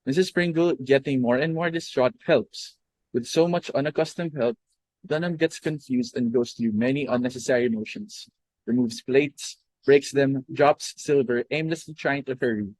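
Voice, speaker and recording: A young man reads out the stage directions for a play. His voice is mid-pitched and plain, and his delivery is steady. The recording is dry and close. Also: normal speed, no echo, low-quality recording. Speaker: narrator reading stage directions. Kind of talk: reading stage directions aloud.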